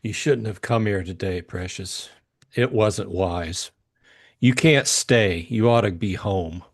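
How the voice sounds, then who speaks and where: A man's voice: fairly low pitch, takes it slowly. A man acting a terse hard-boiled detective. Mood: low, placating. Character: hard-boiled, cynical male private detective, world-weary. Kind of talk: audiobook character acting.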